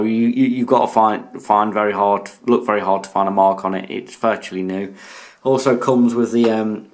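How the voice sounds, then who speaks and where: A British man with a slightly low voice talks through a piece of hobby gear. His delivery is casual and halting, as in a homemade showcase video recorded indoors. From United Kingdom. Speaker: radio hobbyist presenting a video. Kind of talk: casual product showcase narration. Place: indoors in a room.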